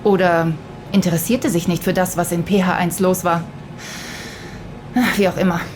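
A woman's voice: on the low side, flat delivery, moderate pace, natural voice. Actress as assertive young revolutionary woman, noisy backdrop. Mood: fervent. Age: young adult. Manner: assertive, declamatory, talkative. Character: Mira, young female urban guerrilla, bossy, fervent, chatty revolutionary. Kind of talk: German sci-fi radio drama dialogue.

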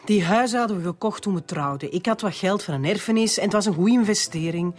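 A woman's voice: medium pitch, fast talker, lightly acted. An actress playing a curt, defensive divorced Flemish woman under questioning in a radio drama.

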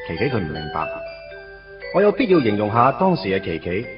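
A lovesick man in his late twenties, looking back on first love in a Cantonese radio drama with a Hong Kong accent. His voice is fairly low and moves expressively as he tells his story. The recording is a little dull, with clear music underneath. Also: wildly swooping pitch, fairly quick, far from the mic. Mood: nostalgic, wry. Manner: nostalgic, conversational storytelling. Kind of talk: radio drama acting, first-person narration.

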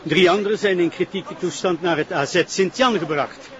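Male actor: self-important Flemish prosecutor, halting official manner, high register, dull drama soundtrack. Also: lightly acted. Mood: formal, assertive. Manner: hesitant, formal, official.

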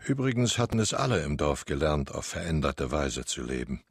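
An actor playing a stern village chronicler of life under occupation, narrating in literary radio drama style. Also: male voice, very deep voice, very animated, a little fast, exaggerated voice. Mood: grave, sober. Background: faint music.

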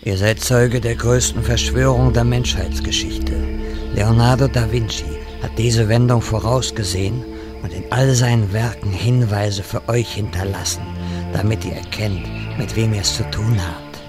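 A man's voice: on the low side, steady tone, music in the background, natural voice. A man playing a pompous, theatrical old wizard in a comedy audio drama. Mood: solemn. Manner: slow, theatrical delivery.

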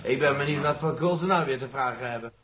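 A man's voice: mid-range voice, fast talker, fairly bright tone, close to an everyday voice. An actor playing a dry, grumbling spaceship crewman with an informal, reluctant manner in a 1960s radio play. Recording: very noisy early 1960s radio recording.

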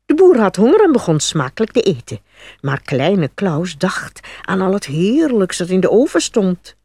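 Husky, animated woman storyteller. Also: medium pitch, normal speed, no echo. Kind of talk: scripted fairy tale narration.

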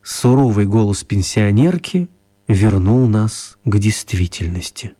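A man's voice: fairly low pitch, a little slow, mellow. An actor narrating an audio drama as a writer telling his own magical tale. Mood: storytelling. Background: music.

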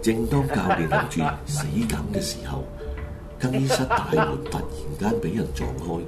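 A man's voice: sits low, big pitch swings, normal speed. A man with a Hong Kong Cantonese accent narrating a horror radio drama in a dramatic scripted storytelling style. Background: loud music.

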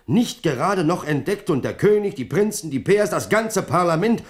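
A man's voice: high voice, lively intonation, normal speed, slight background noise. An actor playing an urgent courtier who reports to his king in a German radio play, formal and alarmed.

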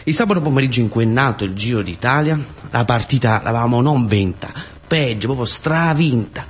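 A man's voice: medium pitch, expressive, a little fast, husky. A man with a southern Italian accent narrating a colloquial theatrical monologue, with conversational asides and repetitions. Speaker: storyteller and stage actor.

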